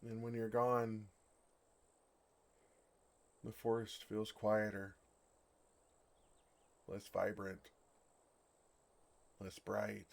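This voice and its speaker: A man voicing a bashful, earnest dragon in love in an ASMR fantasy roleplay, speaking tenderly with hesitant, awkward pauses. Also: on the low side, little pitch movement, gravelly, heavily acted.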